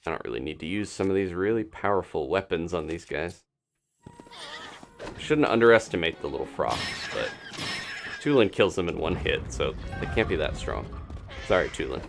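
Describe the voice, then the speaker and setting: A man with a low voice streams a video game with casual commentary. His pitch moves around a lot as he thinks aloud. Faint game music plays behind him. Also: lively intonation, normal speed, gravelly. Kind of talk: casual live gameplay commentary.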